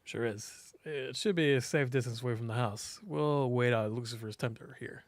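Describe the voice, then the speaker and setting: A man's voice: medium pitch, moderate pace, no echo, close to an everyday voice. Man playing a wry, unflappable guy, dry and close. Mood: laid-back.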